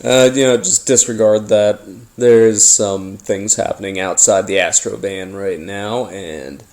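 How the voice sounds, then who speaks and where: A man hosting a paranormal podcast, telling his story informally in a halting, rambling, conspiratorial way. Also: lowish voice, steady tone, a little mellow. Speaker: podcast host. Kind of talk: informal first-person storytelling monologue, paranormal audio drama.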